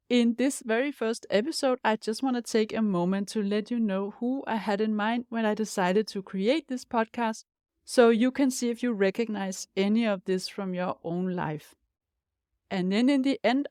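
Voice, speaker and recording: A woman's voice: medium pitch, a little mellow, no echo, studio quality. Danish-accented woman, upbeat podcast host. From Denmark. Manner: upbeat, encouraging, direct address to listener. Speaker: podcast host, life coach. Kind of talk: scripted podcast monologue.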